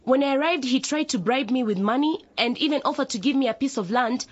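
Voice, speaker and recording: Earnest young councillor, higher-pitched. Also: female voice, a little fast, slightly rough, muffled recording. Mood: earnest, firm. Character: earnest, principled young female councillor testifying. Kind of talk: radio drama acting.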